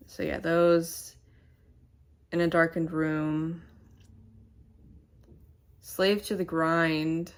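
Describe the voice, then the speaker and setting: A woman with a stuffy, nasal voice, chatting casually to the camera at home in a rambling, halting way. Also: low-pitched, level tone. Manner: rambling and halting, many fillers. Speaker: channel host. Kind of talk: casual to-camera vlog chat. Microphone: camera mic.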